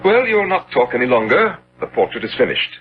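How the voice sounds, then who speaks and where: A male actor plays a protective, earnest Victorian artist in radio drama. His voice is medium in pitch and swings dramatically. The old recording is muffled with faint noise. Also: big pitch swings, a little fast, lightly acted. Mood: fussy. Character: earnest Victorian portrait painter, protective and excitable. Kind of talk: 1970s radio drama acting. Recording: dull, noisy 1970s radio recording.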